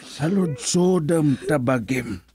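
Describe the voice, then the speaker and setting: A man acts out a reproachful father in a radio melodrama. His voice sits somewhat high for a man, and he scolds sternly and dramatically. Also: lively intonation, slow pace, mellow. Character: stern, reproachful father of a wayward grown daughter.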